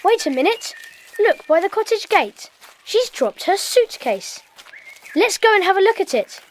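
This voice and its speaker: A woman playing an excitable, curious young child detective in a children's audio drama. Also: very high-pitched, unhurried, slight background noise, full character voice. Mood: excited.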